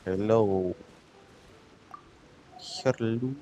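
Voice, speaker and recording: A woman's voice: rumbling low, very slow, dark tone, highs cut off, slight background noise. A woman with an Indonesian accent giving casual commentary as she streams a game.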